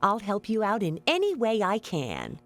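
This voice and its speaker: Sing-song, fussy old innkeeper. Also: female voice, mid-range voice, wildly swooping pitch, normal speed. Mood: hospitable. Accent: American. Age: older. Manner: genteel, chatty, fluttery. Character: kindly, fussy elderly widowed female innkeeper. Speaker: video game character. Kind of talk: scripted video game voice acting.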